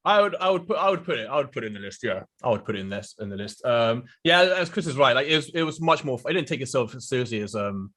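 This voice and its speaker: Animated, bright-voiced man in casual banter. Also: medium pitch, quick pace, few pauses. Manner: chatty, repeating phrases. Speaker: podcast panelist.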